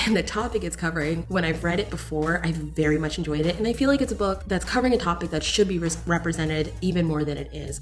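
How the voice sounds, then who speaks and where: A woman chatting casually to viewers as a book reviewer, gushing and candid with emphatic opinions. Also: lowish voice.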